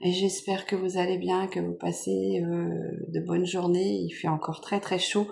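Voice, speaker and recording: Casting note: French woman vlogger, hoarse and stuffy-nosed, low gravelly voice, soft and meandering, faint room noise. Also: little pitch movement, moderate pace. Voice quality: nasal. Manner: soft-spoken, meandering with many fillers. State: hoarse from a cold. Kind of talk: casual chat unboxing makeup gifts. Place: home.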